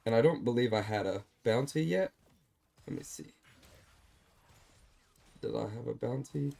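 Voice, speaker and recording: A man calmly walking through a replay of his own game, explaining his choices, with a slightly stuffed-up voice. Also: mid-range voice, measured pace, vocal fry, slightly rough. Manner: calm, explanatory, self-deprecating. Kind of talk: gameplay commentary over a replay.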